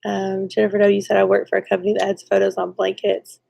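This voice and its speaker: A woman's voice: mid-range voice, monotone, fast, creaky, a little mellow, no echo. A woman with a stuffy nose, chatting casually and giggling as she reads viewer comments on a live stream.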